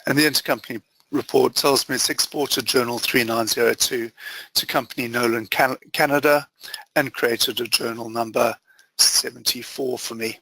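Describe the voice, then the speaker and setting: A man presenting an instructional software demo as a trainer, explaining methodically step by step in short phrases. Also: medium pitch, fairly even intonation, moderate pace, gravelly, dry recording, low-quality recording. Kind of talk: instructional screen-share walkthrough.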